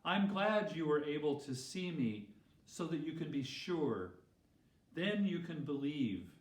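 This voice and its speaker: A man voicing a gentle, patient, reassuring male teacher in a children's Bible story, explaining kindly. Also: fairly high pitch, very animated, a little slow. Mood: welcoming, gentle. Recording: roomy echo, distant microphone.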